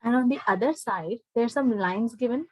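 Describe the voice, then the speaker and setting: A woman's voice: on the high side, fairly quick, a little mellow, breathy edge, no room sound, poor audio. A woman with an Indian accent teaching an interactive spoken English lesson online, instructing and often checking understanding with short questions. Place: online live class.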